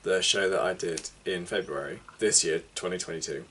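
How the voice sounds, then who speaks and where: A young man co-hosting a casual chat, explaining points in an earnest, measured way. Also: deep voice, bright, forceful tone, a little hiss or hum. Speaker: co-host.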